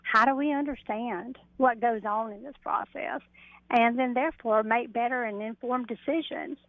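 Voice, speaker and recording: Woman, middle-aged, fairly high voice, explanatory interview talk, narrow phone-line sound. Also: moderate pace, no room sound. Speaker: interview guest, mediator and coach. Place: phoned into a radio show. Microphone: telephone.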